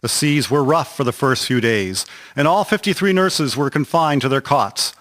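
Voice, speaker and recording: A man's voice: medium pitch, expressive, moderate pace, fairly bright tone. A man reading scripted historical narration for a radio drama series.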